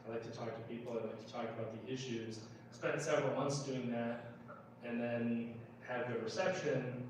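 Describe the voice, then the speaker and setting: A middle-aged American man speaking informally as a candidate at a town hall, explaining things conversationally with halting pauses. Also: mid-range voice. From Minnesota, United States. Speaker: political candidate. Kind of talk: informal town hall speech, answering questions. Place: indoor public meeting room, recorded from a distance. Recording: roomy echo, far mic, clear background noise.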